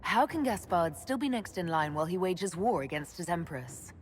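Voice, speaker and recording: An earnest young elf woman acted by a woman who leads decisively. Her voice is slightly low and her speech direct, in a game cutscene with faint music behind. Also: expressive, moderate pace, close to an everyday voice. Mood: inquisitive, decisive. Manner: direct, inquisitive. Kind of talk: video game cutscene acting.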